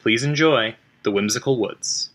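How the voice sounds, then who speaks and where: A man's voice: mid-range voice, unhurried, fairly bright tone, faint music behind. A man reading a scripted introduction as a show's announcer.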